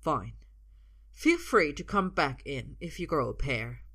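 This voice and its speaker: A woman's voice: low-pitched, sing-song, takes it slowly, breathy edge, exaggerated voice. Woman as a cold, domineering father. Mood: cold, disgusted. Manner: cold, forceful.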